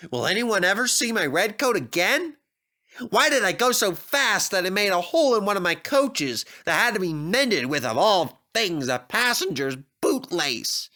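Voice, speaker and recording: A man's voice: high-pitched, bright, forceful tone, pitched above the performer's own voice, exaggerated voice. A man voicing a vain, impatient talking red steam engine in a children's storybook, bossy and fretful in manner.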